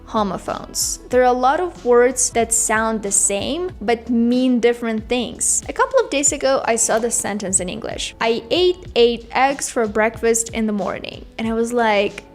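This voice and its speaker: A high-voiced woman, a native Russian speaker with a Russian accent, hosts a casual English lesson. She talks chattily to her viewers and leans on key words to explain her points. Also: normal speed, hardly any pauses, faint music behind. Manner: chatty, emphatic, addresses viewers directly. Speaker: English teacher, video host. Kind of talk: casual explanatory talk to camera.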